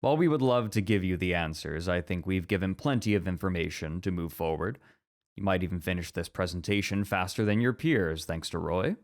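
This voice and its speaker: Low-voiced, thoughtful male academic played by an actor, composed and formal, for ASMR roleplay. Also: a little fast. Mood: helpful, wry. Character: composed, introspective, scholarly male college teacher.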